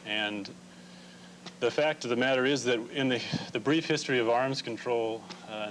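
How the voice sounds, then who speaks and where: Lowish American man over loud hum. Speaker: Quaker relief organization representative, interview guest. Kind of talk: explanatory interview answers. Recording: noisy, humming old TV recording.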